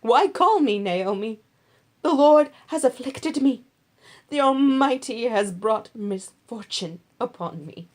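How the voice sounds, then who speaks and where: A woman voicing a bitter, grieving older widow in a Bible story, pleading with drawn-out, emphatic words. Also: a little high, expressive, darkish tone, pitched above the performer's own voice. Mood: bitter, anguished.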